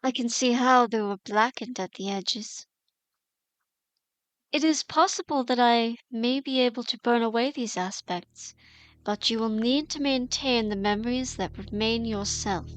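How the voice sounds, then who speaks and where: A woman playing a compassionate mystic healer in a fantasy audio drama, measured, soothing and solemn. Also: a little high, no room sound, natural voice.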